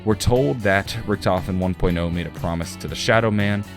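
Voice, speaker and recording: Low-voiced male explainer over guitar music. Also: fairly quick. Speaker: video game lore YouTuber. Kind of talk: scripted explainer narration.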